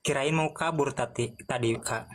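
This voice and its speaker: A man's voice: medium pitch, moderate pace, fairly bright tone. A man argues in an online debate, stubbornly repeating one question. He sounds amused and needling. Faint background hiss runs under his voice. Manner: persistent, repeats one question, laughs mockingly.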